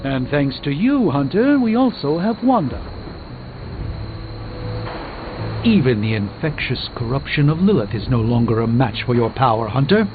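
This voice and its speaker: An actor playing an earnest, formal superhero sorcerer burdened by regret in a video game, speaking in an articulate, measured way. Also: male voice, medium pitch, sing-song, narrow, tinny audio, natural voice. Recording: band-limited game audio with background music.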